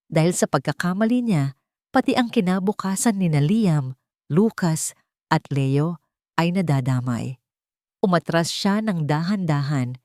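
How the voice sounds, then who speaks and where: A woman giving slow, emotive scripted narration of a dramatic love story. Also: fairly low pitch, a little mellow, no room sound, studio quality.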